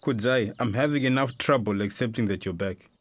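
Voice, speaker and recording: A man's voice: mid-range voice, brisk, slight background noise, close to an everyday voice. An older man with a Zimbabwean accent playing a stern, unyielding traditional father in a radio drama, blunt and guarded.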